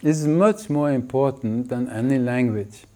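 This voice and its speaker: Calm man teaching in short phrases. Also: mid-range voice. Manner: gentle, unhurried, speaking in short phrases for an interpreter. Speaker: spiritual teacher. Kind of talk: slow spoken teaching.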